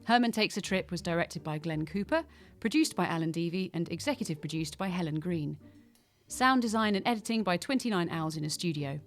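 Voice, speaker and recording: A woman's voice: on the low side, animated, fairly quick. A woman with a British accent reading scripted credits as the announcer of an audio production. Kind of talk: scripted narration.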